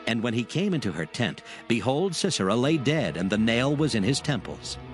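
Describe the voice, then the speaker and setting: A man's voice: mid-range voice, very animated, moderate pace, faint music behind, close to an everyday voice. A man narrating dramatized scripture as a solemn storyteller, reading scripted text.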